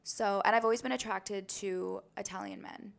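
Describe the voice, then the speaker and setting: A woman's voice: medium pitch, fairly even intonation, moderate pace, creaky. A young Canadian woman co-hosting a podcast, talking in an eager, casual chat. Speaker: podcast co-host.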